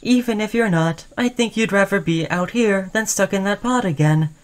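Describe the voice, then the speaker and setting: Woman as sentient robot crewmate, mid pitch, caring, obsessively attached, soothing, chatty, sci-fi audio roleplay. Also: normal speed, lightly acted. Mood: friendly, reassuring. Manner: chatty, soothing, addressing listener as human friend. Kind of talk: scripted ASMR roleplay addressed to the listener.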